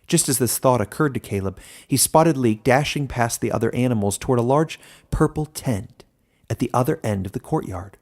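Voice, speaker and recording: A man reading scripted fantasy narration as a storyteller, deliberate and expressive. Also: mid-range voice.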